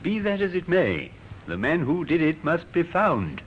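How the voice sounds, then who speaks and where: Grave village vicar, solemn, very varied pitch, old radio drama, faint hiss. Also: male voice, wildly swooping pitch, a little slow. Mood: grave concern. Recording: muffled, noisy old radio recording.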